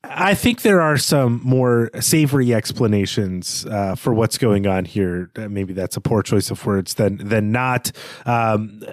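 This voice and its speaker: A man's voice: lowish voice, lively intonation, a little slow, dry recording, studio quality. A man co-hosting a podcast, analysing literature conversationally in a reflective way, often qualifying his points. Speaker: podcast co-host.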